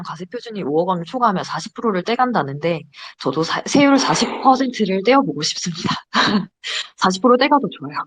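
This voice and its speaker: A young woman in her twenties giving a casual, chatty slide presentation to a study group. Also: mid-range voice. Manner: chatty, giggles between points.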